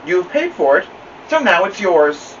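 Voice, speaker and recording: A kindly children's librarian lady, voiced by a man reading a storybook, with a lifted pitch. She is polite, understanding and gently questioning. The sound is a little dull, away from the mic. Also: very animated, a little slow, recorded from a distance, slight background noise. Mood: kindly, businesslike.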